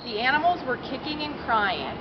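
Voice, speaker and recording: A woman with a fairly high voice declaims a story at an outdoor read-in. She delivers it in short phrases, pausing after each one. The recording is dull and muffled, with a loud crowd around her. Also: animated. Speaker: reader at a public read-in. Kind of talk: reading a novel aloud in short phrases for a crowd to repeat. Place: outdoors at a public campus gathering. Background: loud crowd, urban outdoor noise.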